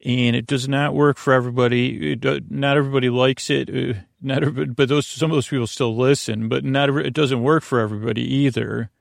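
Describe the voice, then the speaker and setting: A man hosting a bedtime podcast in a creaky, soothing voice, meandering hesitantly through tangents and interrupting himself. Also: mid-range voice, fairly even intonation, quick pace, few pauses, no echo, studio quality. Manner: soothing, lulling. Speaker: podcast host. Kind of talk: rambling bedtime monologue meant to lull to sleep.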